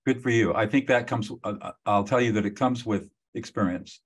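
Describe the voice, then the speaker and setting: A man's voice: lowish voice, a little fast, breathy edge. A man in his seventies hosting an interview podcast, speaking emphatically and encouragingly. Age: 70s. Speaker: podcast host. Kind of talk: interview conversation.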